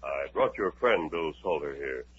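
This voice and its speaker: Commanding masked Western hero, measured and firm; old tinny radio play. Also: male voice, extremely low pitch, lively intonation, slightly rough, heavily acted. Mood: grave. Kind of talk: 1950s radio drama acting.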